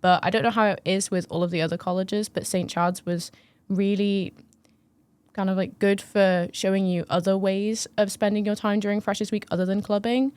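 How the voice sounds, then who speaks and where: A woman's voice: medium pitch, little pitch movement, moderate pace, a little mellow, smooth, no room sound. A young woman from northern England, a first-year student talking earnestly with many hedging fillers. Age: young adult. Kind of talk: casual chat.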